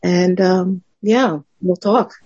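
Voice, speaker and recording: A woman's voice: fairly low pitch, fairly even intonation, slow pace, mellow, slightly rough, dry recording, lo-fi sound. A woman speaking earnestly in a group discussion, often repeating herself and saying "you know". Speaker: discussion participant. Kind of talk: personal testimony in a group discussion.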